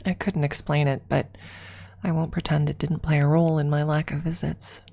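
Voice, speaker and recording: A woman with a creaky voice narrating a scripted story in an ASMR style. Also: deep voice, level tone, moderate pace, dark tone. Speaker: streamer and story reader.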